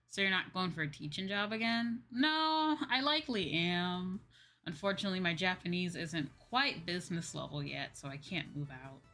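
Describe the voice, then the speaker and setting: Bright-voiced American woman livestreamer. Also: a little high. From Midwestern United States. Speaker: VTuber livestreamer. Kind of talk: casual livestream chat, reading and answering viewer comments. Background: music.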